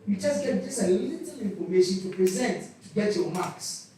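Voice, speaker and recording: A man's voice: sits high, animated, normal speed, dark tone. A man at a church youth session speaking earnestly about studying, in long, lecturing sentences. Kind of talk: talk on studying and revision. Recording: echoey church hall, mic at a distance.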